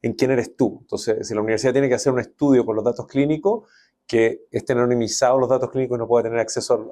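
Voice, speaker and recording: A male innovation expert talking in a podcast interview, hesitant and relaxed, restarting his sentences often. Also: mid-range voice, quick pace.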